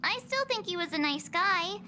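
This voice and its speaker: A woman voicing a perky, naive teenage girl adventurer in a video game, chatty and expressive. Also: extremely high pitch, moderate pace, exaggerated voice. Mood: reflective. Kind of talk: video game character dialogue. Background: game music.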